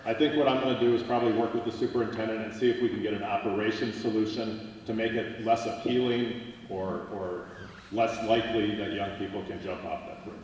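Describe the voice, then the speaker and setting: A man in his fifties, a park police chief, answering an audience question at a community meeting in a measured, matter-of-fact way. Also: lowish voice, fairly even intonation, darkish tone, a little hiss or hum. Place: large meeting hall. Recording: echoey hall, far from the mic.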